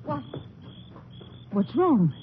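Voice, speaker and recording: An actress playing a plain-spoken Texan rancher's wife in a 1950s radio western, on a muffled vintage recording. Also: female voice, sits high, sing-song, very slow, background noise, full character voice. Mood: alarmed, pleading. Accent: Texas.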